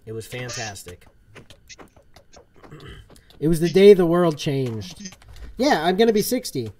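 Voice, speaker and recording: Young man streaming, slightly high voice. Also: big pitch swings, measured pace, warm tone. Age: 20s. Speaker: streamer host. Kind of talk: casual game livestream chat, reading viewer comments. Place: small room indoors at a desk.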